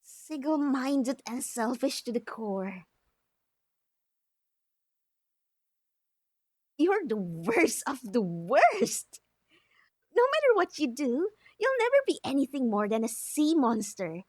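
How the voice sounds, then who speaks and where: A woman's voice: high voice, very animated, no room sound, clean studio sound, exaggerated voice. A woman voicing a haughty, cruel mermaid with a sneering, condescending manner for a visual novel dub. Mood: contemptuous. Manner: sneering, condescending, drawn-out taunting. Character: haughty, cruel mocking mermaid who prides herself on beauty.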